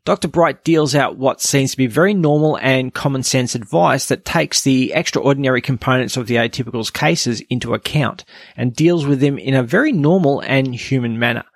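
A man with a gravelly, medium-pitched voice narrates a scripted review as a podcast host. The sound is dry, with no room echo.

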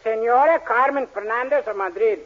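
A man's voice: very high voice, a little fast. A man playing a bashful young clerk posing as a duke with a put-on Spanish accent, naive and hesitant, in 1940s radio comedy style. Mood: uneasy bluffing. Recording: dull, noisy vintage radio recording.

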